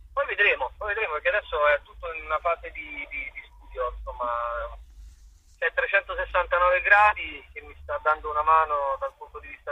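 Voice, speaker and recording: A man speaks in a very bright, forceful voice with a husky rasp. He talks as an interviewed stage actor, feeling for words and doubling back. The recording is dull and narrow. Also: fairly high pitch, no echo. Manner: hesitant, searching for words, repeats himself. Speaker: actor and playwright being interviewed. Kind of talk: conversational interview answers.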